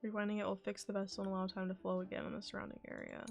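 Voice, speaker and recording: A woman's voice: medium pitch, monotone, very fast, vocal fry, muffled recording, faint music behind. A woman livestreamer giving casual commentary as she plays a game.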